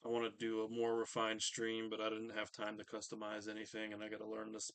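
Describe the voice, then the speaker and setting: A man's voice: on the low side, monotone, quick pace, a bit bright, no echo. A man hosting a live stream in a casual, distracted, muttering way.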